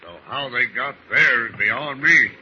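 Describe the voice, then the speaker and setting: A man playing a gruff, hearty sea captain with salty, commanding talk, in 1940s radio style. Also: mid-range voice, measured pace, sharp tone. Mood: puzzled, commanding. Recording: noisy, dull old radio recording.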